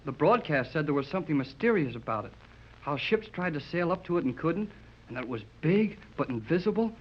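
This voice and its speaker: Eager high-voiced American teenage boy. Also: very animated, a little fast. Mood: wistful, intrigued. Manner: boyish, earnest, gushing slang, stammers shyly. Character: eager, polite, bashful teenage American boy, starry-eyed. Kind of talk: 1930s radio serial acting. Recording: muffled vintage 1930s radio recording.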